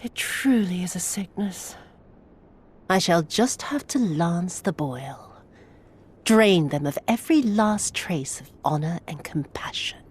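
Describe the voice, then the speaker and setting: Haughty, cruel villainess, swooping delivery. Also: female voice, lowish voice, animated, slow pace, husky, exaggerated voice. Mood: disdainful, sinister. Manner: haughty, sneering, theatrical. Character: cruel, contemptuous female military commander interrogating captives. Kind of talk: video game villain acting.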